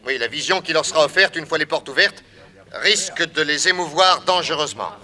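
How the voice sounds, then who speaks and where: A commanding, formal detective, with a very bright, sharp voice pitched somewhat high. He addresses a room of officials with firm authority, like a lead in an old radio play. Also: animated, brisk. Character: bold, commanding male detective. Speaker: radio drama actor. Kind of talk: 1960s French radio drama acting.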